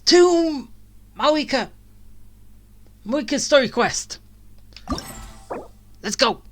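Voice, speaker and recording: A woman's voice: high-pitched, expressive, takes it slowly. A woman giving casual gameplay commentary, rambling and tossing teasing asides at the game's characters. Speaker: game streamer. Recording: game music behind the voice.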